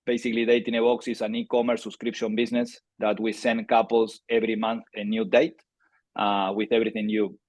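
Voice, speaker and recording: Low, flat-voiced Argentine man presenting. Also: a little fast, no room sound. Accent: Argentine Spanish. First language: Spanish. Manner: conversational, many fillers and restarts. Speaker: startup founder presenting a webinar. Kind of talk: webinar presentation.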